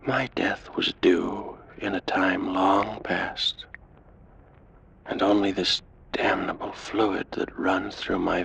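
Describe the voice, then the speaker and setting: An actor playing a weary, ancient Egyptian priest who longs for death, speaking formally and deliberately in an old radio drama. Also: male voice, deep voice, gravelly, a bit bright, heavily acted. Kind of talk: 1940s radio acting. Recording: vintage radio recording with background noise.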